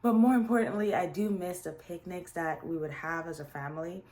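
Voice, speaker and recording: Low-voiced Guyanese woman, chatty. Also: fairly quick, darkish tone. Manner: chatty, with frequent restarts and fillers. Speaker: series host. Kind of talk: casual personal introduction.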